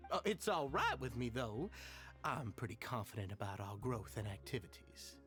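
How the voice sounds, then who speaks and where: A man's voice: mid-range voice, expressive, normal speed, fairly bright tone, soft background music, natural voice. An actor playing an earnest male society leader in a game, polite, eager and a bit nervous.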